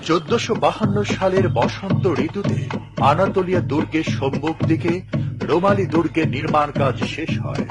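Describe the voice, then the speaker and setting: A man narrating history in a measured, solemn tone. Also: a little high, over loud music, close to an everyday voice, low-quality recording.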